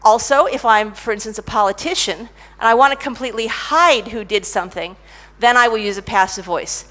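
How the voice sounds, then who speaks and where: A middle-aged woman giving a conference talk about technical writing, lively and self-mocking as she tells stories. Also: on the high side, fairly quick. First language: English. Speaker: conference speaker, technical writer.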